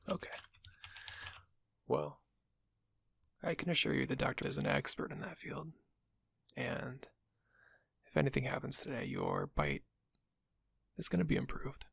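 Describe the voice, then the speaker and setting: Audio roleplay casting: male actor as sly front-desk guy at a vampire's dental office, mid-pitched, heavy vocal fry, casual and insinuating. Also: no echo, full character voice. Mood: knowing, assuring. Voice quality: gravelly, husky. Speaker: receptionist character. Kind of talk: audio roleplay addressed to the listener. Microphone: close, dry microphone.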